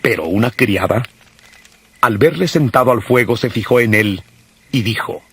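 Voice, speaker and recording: A man narrating scripture from a script in a solemn, measured manner. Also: mid-range voice, animated, fairly bright tone, breathy edge, no room sound. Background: music.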